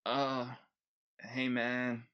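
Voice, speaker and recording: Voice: mid-range voice, completely flat delivery, drawn-out words, fairly bright tone, clean tone. A game master voicing a drowsy, drugged young dude with a casual, groggy manner.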